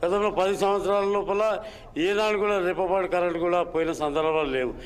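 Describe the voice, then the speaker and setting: A man's voice: sits high, fairly even intonation, fast talker, clean tone. A man with a Telangana Telugu accent answering an interview as a local legislator, emphatic and repeating himself.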